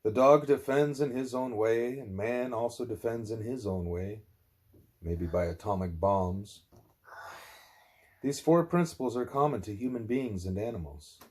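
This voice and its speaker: A man narrating a spiritual text aloud in deliberate, emphatic phrases. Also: medium pitch, a little mellow. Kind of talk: scripted narration, broken by asides to a small child.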